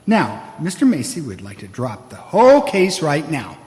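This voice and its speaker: An actor playing a fussy, anxious, cowardly store employee with a stammering, obsequious manner in an old-time radio play. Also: male voice, fairly high pitch, wildly swooping pitch, normal speed, a little mellow. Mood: anxious, ingratiating. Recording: roomy stage hall with long reverb.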